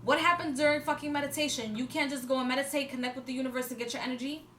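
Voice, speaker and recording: A high-pitched woman in her twenties talks in a loose, rambling vlog, full of fillers and restarts. She swears now and then for emphasis. The room sounds echoey, with a faint hiss of noise behind her. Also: steady tone, very fast, a bit bright. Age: 20s. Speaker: vlogger. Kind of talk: casual spiritual talk to camera.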